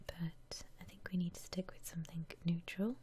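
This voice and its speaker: A woman whispering softly and breathily into a close microphone, musing aloud in casual ASMR gameplay commentary. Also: fairly low pitch, steady tone, moderate pace. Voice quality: soft breathy whisper, almost entirely unvoiced. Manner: gentle, musing aloud to herself. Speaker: ASMR gamer narrating her house renovation gameplay.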